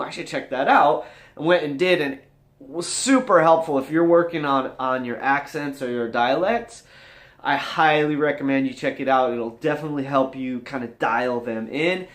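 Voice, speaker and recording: An upbeat, high-energy man chatting casually as a vlog host, his voice moving animatedly up and down. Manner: animated, high-energy, rambling with swooping register. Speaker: vlogger and host. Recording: echoey room.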